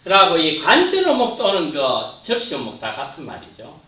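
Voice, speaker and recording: Male lecturer, lively pitch, muffled distant room recording. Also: normal speed, echoey room. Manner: points at slides, trails off at phrase ends. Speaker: anatomy lecturer. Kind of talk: classroom lecture.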